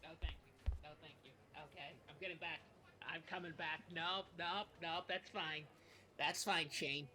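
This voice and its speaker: A man's voice: on the high side, fairly even intonation, moderate pace, pushed and bright, soft background music. A man playing a flustered, conflict-avoiding small-town podcast co-host, stammering and trailing off in fussy comedy chat. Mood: harried.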